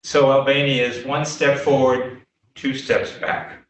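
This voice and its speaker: An older American man giving an informal lecture, telling anecdotes in a halting, self-deprecating way. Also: medium pitch, little pitch movement, muffled recording, a little hiss or hum. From Boston, United States. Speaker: guest lecturer, development consultant. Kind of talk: informal slide lecture with audience questions. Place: echoey meeting room before a small audience. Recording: very echoey room, distant microphone.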